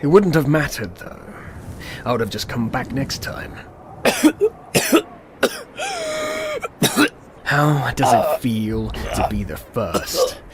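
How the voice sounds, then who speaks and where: An actor playing a calm, smug, world-ending destroyer in radio drama style, unhurried, menacing and condescending. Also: male voice, mid-range voice, background noise. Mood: gloating. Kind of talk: old-school radio drama acting.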